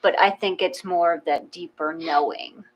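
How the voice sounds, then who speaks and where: A woman in a casual, reflective discussion, explaining gently and a little tentatively. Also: lowish voice, normal speed, low-quality recording. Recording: faint background hum.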